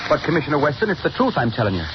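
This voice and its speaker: A man's voice: on the high side, sing-song, rapid-fire, music in the background. A man with an Irish accent playing a chatty police lieutenant in a 1930s radio drama. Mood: gruff. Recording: dull old radio broadcast with hiss.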